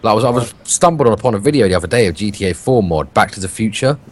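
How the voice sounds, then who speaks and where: A British man co-hosting a gaming podcast, swearing a lot and arguing his point in a self-described monotone. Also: lowish voice, racing. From Britain. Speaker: podcast co-host. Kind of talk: casual gaming podcast chat.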